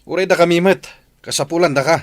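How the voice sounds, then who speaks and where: A man's voice: fairly high pitch, fast talker, close to an everyday voice. A man playing an insistent, reproachful father in an Ilocano radio drama, with an Ilocano Filipino accent.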